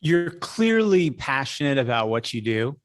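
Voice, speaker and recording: A man's voice: medium pitch, sing-song, a little slow. A man hosting an interview, speaking to his guests in a conversational style. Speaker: interview host.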